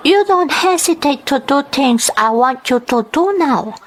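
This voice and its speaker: A woman's voice: high voice, animated, unhurried, pitched above the performer's own voice. A woman playing a seductive, controlling wealthy female employer in a radio drama. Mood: pleased, seductive. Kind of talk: radio drama acting in English.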